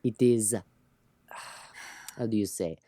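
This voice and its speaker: A woman playing a calm, clinical spaceship doctor who speaks in a measured, word-searching way in an audio drama. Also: very deep voice, no room sound, full character voice. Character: calm, clinical ship's doctor slipping into French.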